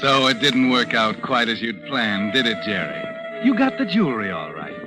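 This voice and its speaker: A man's voice: mid-range voice, sing-song, moderate pace, lightly acted, low-quality recording. A man acting as a sardonic, all-knowing narrator of an old radio mystery, taunting and insinuating as he addresses a criminal. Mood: mocking. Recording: old radio recording, loud music behind. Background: loud dramatic music.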